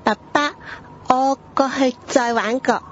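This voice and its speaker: A woman's voice: high-pitched, fairly even intonation, slight background noise. A woman with a Hong Kong accent playing a playful, pleading little girl in a radio drama. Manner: childlike, whining, halting. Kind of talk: Cantonese radio drama acting. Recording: dull lo-fi audio under music.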